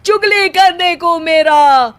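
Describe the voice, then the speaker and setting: A lazy young husband from a cartoon story played by a woman, high in pitch and slow to get the words out. He is full of self-pity and declaims like a stage poet. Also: fairly bright tone, heavily acted. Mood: aggrieved. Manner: theatrical poetry recital. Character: lazy young husband spouting rhyming couplets, self-pitying.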